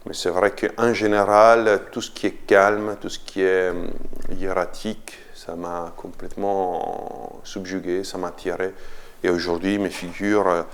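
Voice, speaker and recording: A man's voice: low voice, gravelly. A man, a sculptor speaking French in a documentary interview, thoughtful and hesitant, often repeating words as he searches for them. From Italy. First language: Italian.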